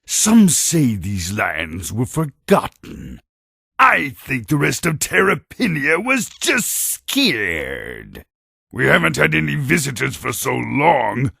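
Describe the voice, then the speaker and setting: An actor playing a boastful, brawny warrior creature in a video game, blustering and menacing in a gravelly, raspy voice. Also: male voice, mid-range voice, huge pitch swings, slow, sharp tone, no room sound, clean studio sound, exaggerated voice. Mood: gruff, suspicious. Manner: swaggering, bragging, menacing. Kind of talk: video game cutscene acting.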